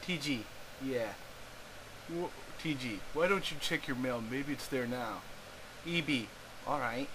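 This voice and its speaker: A man voicing snarky young internet friends reading out their chat in offhand, deadpan banter on a noisy amateur recording. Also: medium pitch, unhurried, pauses often, close to an everyday voice. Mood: snarky, casual.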